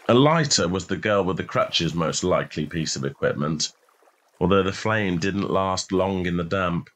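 A man's voice: fairly low pitch, fairly bright tone. A man reading a short story in a scripted narration style.

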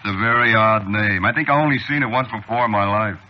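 A man's voice: on the low side, fast talker, close to an everyday voice. An actor playing a folksy, evasive, faintly ominous bartender in a 1940s radio drama, drawing out his words. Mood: folksy, teasing. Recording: dull, hissy old radio recording.

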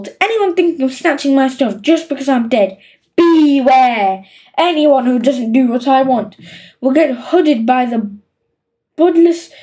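A woman's voice: high voice, darkish tone, distant mic. A woman voicing a greedy, bossy young boy in a storybook, gleefully insulting and emphatic. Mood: threatening, self-pitying. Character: bossy, greedy, scheming young boy dictating his will.

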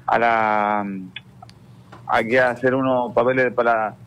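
A young Chilean man, a football player guest on a radio show, answering haltingly with many repeated words and frequent "claro". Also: medium pitch, flat delivery, fairly bright tone, a little hiss or hum, low-quality recording. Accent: Chilean Spanish.

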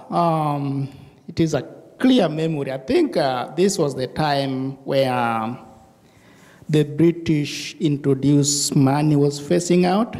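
East African man, gravelly and reverberant. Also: on the high side, darkish tone. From Tanzania, East Africa. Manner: halting, pausing often. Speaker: church member being interviewed. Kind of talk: personal recollection in an interview. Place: indoor church sanctuary. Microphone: handheld microphone. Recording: reverberant hall, handheld microphone.

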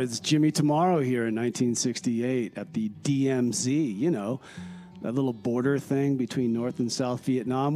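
A man's voice: on the high side, darkish tone, close to an everyday voice. A man playing an upbeat, unflappable traveler in comedy radio theater, reporting disasters in a breezy, casual, singsong letter.

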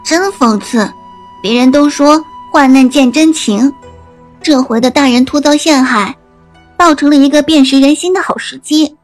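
Woman acting a high-voiced, indignant young maid. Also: moderate pace, faint music behind, natural voice. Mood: worried, bitter. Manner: fervent, earnest. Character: indignant young maidservant loyal to her mistress. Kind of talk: audio drama acting.

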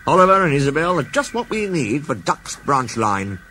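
A man voicing a kindly, authoritative older railway boss who speaks cheerfully and gravely by turns. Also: fairly high pitch, sing-song, normal speed, noisy background, voice pushed up.

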